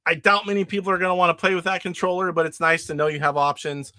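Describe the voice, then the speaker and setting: Sick, nasal man with a high voice. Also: flat delivery, fast, sharp tone, dry recording, studio quality. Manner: rambling, demonstrating games live. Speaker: retro gaming show host. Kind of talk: casual live stream chat.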